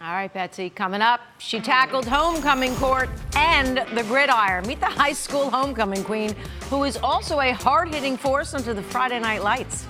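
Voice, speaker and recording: Woman, TV news anchor, fairly high voice, casual studio banter, clear music bed behind. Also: normal speed.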